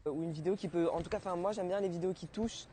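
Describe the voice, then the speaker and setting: A teenage girl answering interview questions casually, pausing often with fillers. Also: lowish voice, warm tone, a little hiss or hum. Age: teens. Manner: hesitant, many fillers.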